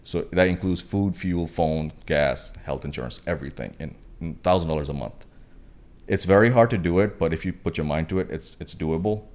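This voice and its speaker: A young man in his twenties from Pakistan, with a deep, low-set voice. He chats casually as a guest, wandering through his points with lots of fillers and restarts. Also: brisk, a little mellow. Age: 20s. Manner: rambling, many fillers and restarts. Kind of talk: casual podcast chat.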